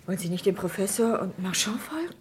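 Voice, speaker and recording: A woman's voice: medium pitch, animated, quick pace, noisy background, close to an everyday voice. An actress playing an anguished, pleading woman in a radio crime drama, urgent and moving between whispers and cries. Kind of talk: 1970s German radio crime drama acting.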